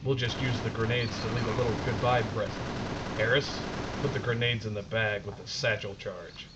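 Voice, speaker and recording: An actor playing a loyal, wary army sergeant in an audio drama, sardonic and soldierly. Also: male voice, lowish voice, steady tone, normal speed, natural voice. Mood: urgent. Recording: slightly noisy, muffled audio.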